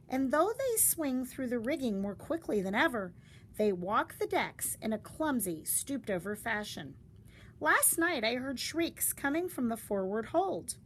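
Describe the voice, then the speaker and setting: Female storybook reader, slightly high voice, very varied intonation, dramatic and deliberate delivery. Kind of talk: expressive read-aloud of a story. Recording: faint background noise.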